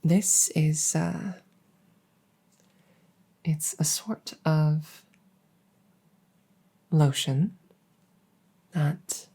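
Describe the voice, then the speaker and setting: A woman playing a devoted, centuries-old vampire maid in a gentle ASMR roleplay, speaking softly and formally in a breathy near-whisper. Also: low voice, extremely slow, long pauses, exaggerated voice. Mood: gentle, tactful. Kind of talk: soft-spoken roleplay comfort ASMR.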